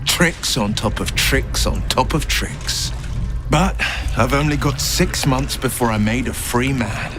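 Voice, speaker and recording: A man's voice: medium pitch, a little slow, background noise, natural voice. A man playing an earnest young hero in a fantasy video game, dramatic and emphatic, with music behind him. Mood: weary, resolute. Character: earnest young heroic man bound to a devil.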